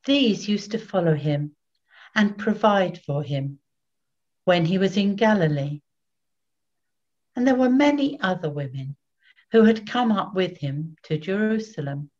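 A woman in her sixties giving a solemn, measured scripted Bible reading as narrator, recorded over a video call at home. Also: lowish voice, lively intonation, darkish tone. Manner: measured, deliberate. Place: small room at home. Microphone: video call, computer at arm's length. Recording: dull webcam video call audio.

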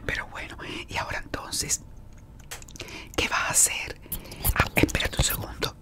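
Whispering woman in an ASMR roleplay. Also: moderate pace. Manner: gossipy whispering, talking to an unseen caller and a client. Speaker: beautician in a roleplay.

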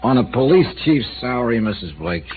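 An actor playing a probing male insurance investigator in a 1950s radio drama, questioning with dry, needling calm. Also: medium pitch, fairly quick, slightly rough. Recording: tinny old radio broadcast with faint hiss.

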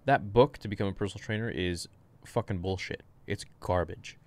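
Low-voiced young man, rambling and frank. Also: normal speed, darkish tone. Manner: rambling, frank, swears casually. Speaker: fitness streamer. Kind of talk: casual livestream Q&A. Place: small room at home.

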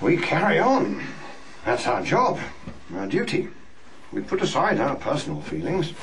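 An actor playing a stoical, enigmatic senior servant in a radio drama, measured, dry and portentous. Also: male voice, a little high, wildly swooping pitch, fairly bright tone, exaggerated voice.

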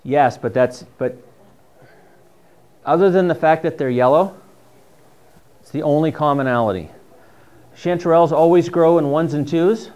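A man's voice: a little high, moderate pace, long pauses, darkish tone, clear, resonant tone, highs cut off. A middle-aged man with a New England accent, teaching a class on foraging in a dim classroom, chatty and enthusiastic. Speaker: mushroom foraging instructor. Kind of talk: casual classroom talk with slides. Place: dim classroom with projector screen. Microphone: far camera.

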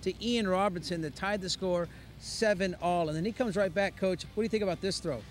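A middle-aged man hosting a post-game football show outdoors at night, speaking into a handheld mic with brisk, upbeat commentary. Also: high-pitched, slight background noise. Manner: brisk, upbeat play-by-play delivery. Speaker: sportscaster host.

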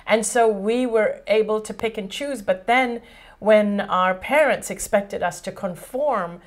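A woman's voice: medium pitch, unhurried. A middle-aged woman from Hong Kong hosting a casual chat indoors, talking easily, searching for words now and then and laughing readily. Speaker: host. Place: indoor studio set with a painted brick wall.

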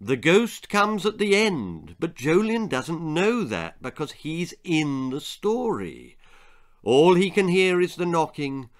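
Man acting a slow, creepy old grandmother. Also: fairly high pitch, big pitch swings, voice pushed up. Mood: patient, firm. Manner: slow, deliberate, withholding. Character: bony, creepy old grandmother, patient, teasing ghost-story teller.

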